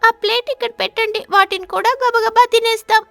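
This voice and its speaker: A woman voicing a hungry, demanding baby sparrow with a childlike whine in an animated story. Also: very high voice, brisk, vocal fry, no echo, soft background music, pitched above the performer's own voice, full character voice. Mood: eager. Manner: whiny, childlike.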